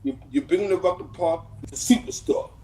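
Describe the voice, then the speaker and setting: A man's voice: sits high, fairly quick, warm tone, poor audio. A man with a New York accent in a casual, impassioned phone conversation, slangy, profane and emphatic, often checking that he's being followed. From United States.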